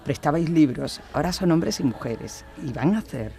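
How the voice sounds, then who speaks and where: Animated devoted wife played by a woman, music behind. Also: sits low, moderate pace. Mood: tender. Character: devoted excited wife, Mary. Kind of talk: Christmas radio play acting.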